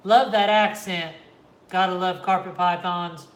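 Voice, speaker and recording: A man from the Kansas, Oklahoma and Missouri area with a Midwestern American accent, hosting a relaxed livestream from home and answering viewers' chat. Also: high voice, measured pace. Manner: laid-back, reads and answers live chat. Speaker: reptile channel host. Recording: echoey room.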